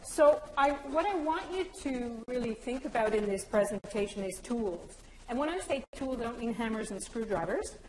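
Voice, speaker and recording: A woman's voice: fairly high pitch, lively intonation, moderate pace, darkish tone, slight background noise. A woman from Newfoundland giving a conference presentation, explaining ideas and slipping in anecdotes. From Newfoundland, Canada. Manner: explanatory, joking aside with anecdotes. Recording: echoey hall.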